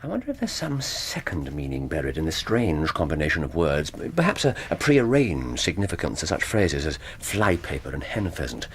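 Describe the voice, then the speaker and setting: An actor in a radio drama playing a brooding, cerebral English detective, precise and given to thinking aloud in broken bursts. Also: male voice, rumbling low, huge pitch swings, moderate pace, hardly any pauses, slight background noise, heavily acted. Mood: musing, intent. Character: brooding, cerebral English male detective recounting an old case.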